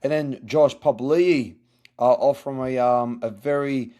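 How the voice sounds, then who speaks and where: A man hosting a casual sports review podcast, his voice hoarse from yelling, halting with many fillers and restarts. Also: mid-range voice, steady tone. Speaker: podcast host.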